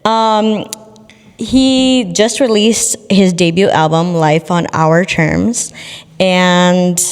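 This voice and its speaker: A woman's voice: fairly low pitch, fairly even intonation, very slow, clean tone, big-room sound. A young woman hosting a radio interview, upbeat and friendly with casual slang. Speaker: radio host. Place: radio studio.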